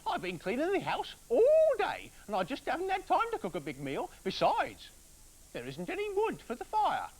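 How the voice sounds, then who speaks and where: A man voicing a grumpy middle-aged housewife who scolds in a very high, shrill voice. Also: huge pitch swings, normal speed, darkish tone, heavily acted. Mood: grumbling.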